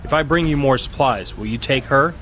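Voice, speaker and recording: Audio drama major, male actor: resolute, principled, mid-pitched, clear outdoor engine noise around him. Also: fairly quick, close to an everyday voice. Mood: urgent, indignant. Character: determined, compassionate male army major.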